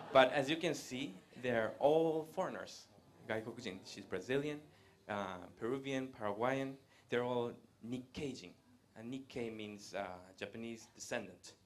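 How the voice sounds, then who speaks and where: A man, giving a live presentation to an audience in a conversational way, pausing often to hesitate. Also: mid-range voice, slight background noise. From Latin America. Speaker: guest speaker. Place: event room with a live audience.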